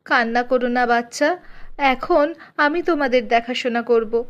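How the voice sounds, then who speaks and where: A woman voicing a kindly, motherly bird auntie in a children's cartoon, speaking in a gentle, caring way. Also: fairly high pitch, a little slow, muffled recording, close to an everyday voice. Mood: comforting.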